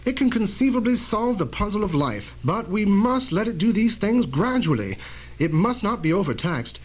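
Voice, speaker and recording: Radio drama inventor, male actor: proud, cautious scientist, high-pitched and lively, emphatic explanations. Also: moderate pace. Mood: cautious, earnest.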